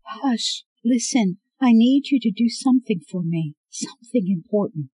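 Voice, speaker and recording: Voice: medium pitch, expressive, moderate pace, mellow, husky, no room sound, pitched above the performer's own voice, low-quality recording. Frail weeping old grandmother, as a reader puts her on. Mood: pleading weakly. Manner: weak, pleading, breaking.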